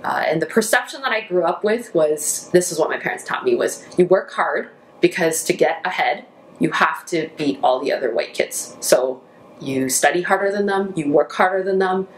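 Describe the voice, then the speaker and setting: A woman's voice: on the low side, moderate pace, a little hiss or hum, rough recording. A woman who works as a campus minister, grew up in Canada, talking casually about her life and friendship in an interview.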